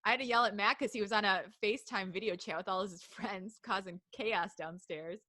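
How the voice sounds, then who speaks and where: A woman co-hosting a live podcast stream, giggly and excitable, reading out chat messages over a video call. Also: mid-range voice, a little fast. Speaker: podcast co-host.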